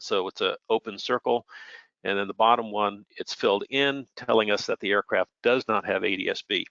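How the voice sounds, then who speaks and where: A man's voice: on the low side, bright, forceful tone, no echo, lo-fi sound. A plainspoken, matter-of-fact man giving an informal technical explanation as an expert air traffic controller.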